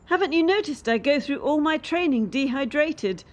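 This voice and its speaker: A woman's voice: high voice, expressive, normal speed. A woman acting in a radio play as a bitter, defiant young elite gymnast under pressure. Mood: bitter, wary.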